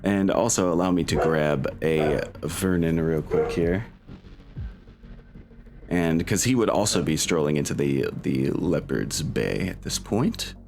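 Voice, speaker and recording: A man's voice: rumbling low, expressive, unhurried, gravelly. A man speaking informally and with swearing as the game master of a tabletop role-playing session. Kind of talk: casual tabletop game narration.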